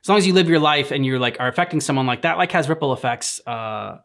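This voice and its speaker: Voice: mid-range voice, fast talker, a bit bright. Hesitant co-host in casual chat. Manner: hesitant, searching for words. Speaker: co-host of a reaction show.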